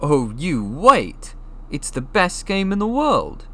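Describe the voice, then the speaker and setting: A man voicing a blunt, excitable schoolboy in a storybook, given to exclamations. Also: a little high, slow pace, voice pushed up, heavily acted. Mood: amazed excitement.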